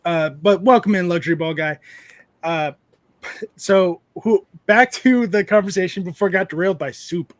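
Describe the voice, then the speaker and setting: A man's voice: high-pitched, moderate pace, no room sound. A man in his thirties hosting a casual livestream, rambling and joking while he reads out chat messages. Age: 30s. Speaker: livestream host.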